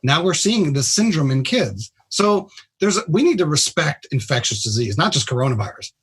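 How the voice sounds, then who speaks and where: A man's voice: on the high side, sing-song, normal speed, breathy edge. A man who is a trauma surgeon, a guest on a radio show, explaining things bluntly and emphatically in casual talk.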